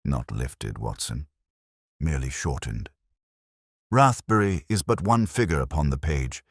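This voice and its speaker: A brilliant, scornful detective, played by a male narrator speaks in solemn, clipped pronouncements for an audiobook mystery. His voice is very deep and slightly husky, theatrical and grave, recorded dry. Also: very animated, measured pace, dry recording, full character voice. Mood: grim, ominous.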